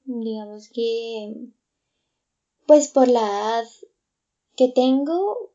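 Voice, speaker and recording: A woman's voice: a little high, lively intonation, extremely slow, warm tone. Reflective young woman, dull audio. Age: 20s. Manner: reflective, many fillers and restarts. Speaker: guest. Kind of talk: casual conversation.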